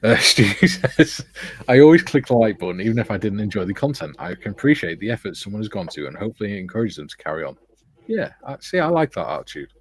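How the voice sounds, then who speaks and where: Husky low-voiced man hosting a chat. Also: sing-song, quick pace. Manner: jokey, teasing, reads comments aloud. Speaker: stream host reading viewer chat. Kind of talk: casual chat.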